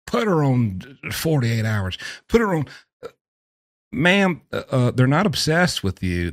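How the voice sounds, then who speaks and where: A man's voice: mid-range voice, no echo, clean studio sound. A man giving casual, sarcastic reaction commentary as a host, mocking and theatrical in his emphasis. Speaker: host commenting on prison phone calls.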